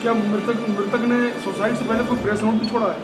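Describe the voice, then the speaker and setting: A man's voice: sits high, level tone, quick pace. A man asking questions as a news reporter in an interview. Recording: echoey room, loud music over speech. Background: loud music.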